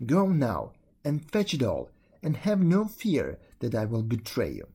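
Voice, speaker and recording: Reader doing a sly holy man, grave and formal, husky tone. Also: mid-range voice, wildly swooping pitch, measured pace, mellow, heavily acted. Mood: solemn. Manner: solemn, old-fashioned speech. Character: shrewd holy man in a prison.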